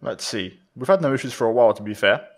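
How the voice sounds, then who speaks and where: A man giving live commentary on a game as he plays it, thinking out loud. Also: mid-range voice, lively intonation, fast talker. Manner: thinks aloud while playing. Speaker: gaming video creator, player.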